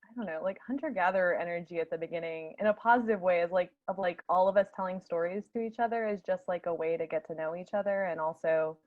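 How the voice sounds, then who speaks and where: A woman's voice: lowish voice, quick pace, hardly any pauses. A woman chatting very informally as a theatre panelist over a video call, peppering her talk with filler words. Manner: informal, frequent "like". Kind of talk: casual chat. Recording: slightly dull video-call audio.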